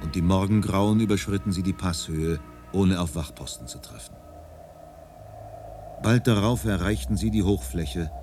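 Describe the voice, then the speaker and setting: The storyteller of a radio play, reading in a low voice over clear music. The delivery is sober, composed and measured, like scripted literary narration. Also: male voice.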